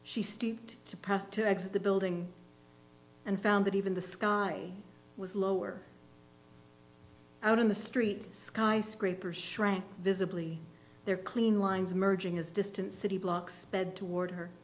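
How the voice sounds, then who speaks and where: A woman reading literary fiction aloud as a reader's theatre performer, in a measured, expressive storytelling manner. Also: mid-range voice, rough recording. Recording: faint hum and background noise.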